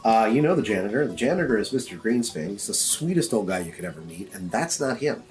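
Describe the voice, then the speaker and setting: A man's voice: lowish voice, very animated, fast, a little mellow, soft background music, rough recording. A man narrating and refereeing a tabletop game as game master.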